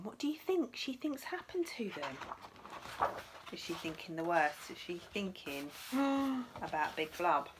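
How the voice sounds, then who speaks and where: Slow, expressive woman reading to children. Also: fairly high pitch, husky. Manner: dramatic gasps and asides addressed to young children. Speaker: teacher reading a storybook aloud. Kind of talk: expressive children's story read-aloud.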